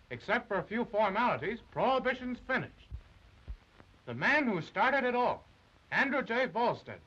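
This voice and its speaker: A high-pitched, husky male newsreel narrator from the 1930s. He declaims with dramatic emphasis and big swings of pitch. The sound is a dull vintage film track. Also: sharp tone.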